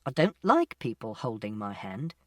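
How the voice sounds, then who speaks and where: An anxious, literal-minded boy, as an audiobook narrator plays him. He speaks with blunt honesty, at a medium pitch and with little room sound. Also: sing-song, moderate pace, no room sound, studio quality, distant mic, natural voice. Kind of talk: first-person audiobook narration.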